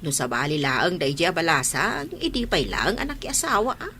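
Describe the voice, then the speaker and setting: An actress playing a friendly, talkative, opinionated older neighbour wife in an Ilocano radio drama, forthright and chatty. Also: female voice, fairly low pitch, very animated, moderate pace, sharp tone, a little hiss or hum, exaggerated voice. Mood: gossipy.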